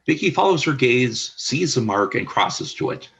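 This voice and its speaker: A man reading the stage directions of a fan radio play in an even, descriptive way. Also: mid-range voice, moderate pace, creaky, dull audio. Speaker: narrator.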